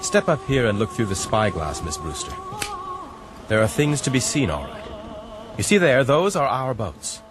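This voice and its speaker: An actor playing an educated, dutiful ship's mate, formal and sober, in a radio drama. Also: male voice, medium pitch, sing-song, music in the background, natural voice. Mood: watchful, explanatory. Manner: articulate, formal, measured.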